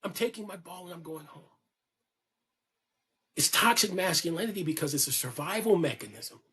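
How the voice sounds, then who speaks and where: A man's voice: high-pitched, animated, moderate pace, pushed and bright, husky. A man speaking as a podcast guest, giving an impassioned, preachy monologue that repeats key lines for emphasis. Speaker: guest on a podcast, kink educator.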